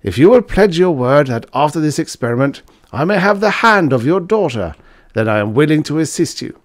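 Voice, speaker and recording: A man reading a story aloud, voicing a half-drunk young male student who speaks loudly and urgently. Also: a little high, big pitch swings, a little fast, voice pushed up. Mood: solemn.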